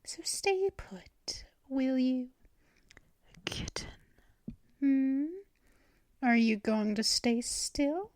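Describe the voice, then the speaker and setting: A woman voicing a possessive female vampire mistress in an ASMR roleplay, talking in soft, teasing whispers up close to the mic. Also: high-pitched, lively intonation, no room sound, heavily acted. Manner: slow, drawn-out delivery.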